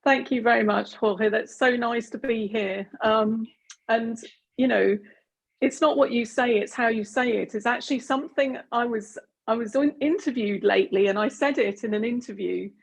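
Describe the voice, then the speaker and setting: Husky British woman presenting a webinar. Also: on the high side, moderate pace. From Britain. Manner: chatty, many fillers and restarts. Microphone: video call.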